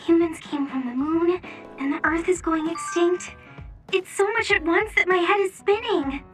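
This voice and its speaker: A woman's voice: very high voice, normal speed, soft background music. A woman acting as an earnest, girlish young video game character who is overwhelmed but determined, sounding a little distant.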